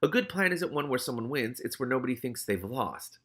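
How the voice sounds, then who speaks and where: A man voicing a wily, grumbling streetwise cat in a storybook reading, quick to hedge and bargain. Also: mid-range voice, animated, fairly bright tone, slightly rough, voice pushed up. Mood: sardonic, grumbling. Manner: quick-fire, hedging, sardonic. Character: wily talking male cat, streetwise, grumbling, anxiously deferential.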